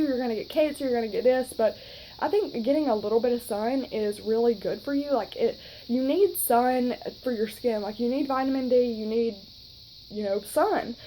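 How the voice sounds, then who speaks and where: A woman's voice: a little high, mellow, slight background noise, low-quality recording. A woman of twenty with a Southern accent, chatting casually to her phone camera in a rambling way with lots of fillers. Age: 20s. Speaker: vlogger.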